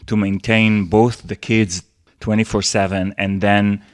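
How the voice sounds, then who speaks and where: Israeli-accented male co-host, low voice, dry close sound, halting delivery. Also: little pitch movement, no room sound. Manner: halting, stumbles over long words. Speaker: podcast co-host. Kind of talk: casual podcast chat.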